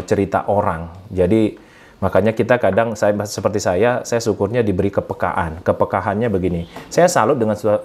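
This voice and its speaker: A man's voice: on the low side, a little mellow, breathy edge. A middle-aged man from Sumatra with an Indonesian accent, speaking as a webinar guest in an earnest, rambling, conversational motivational style. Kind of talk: informal motivational talk.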